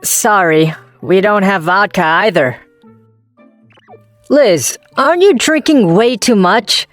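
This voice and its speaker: A woman's voice: medium pitch, lively intonation, slow pace, no echo, faint music behind. Woman as young male office worker, manga dub, mid-range voice, introspective, wry, self-deprecating, dry recording. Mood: firm, concerned. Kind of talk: manga dub narration and dialogue.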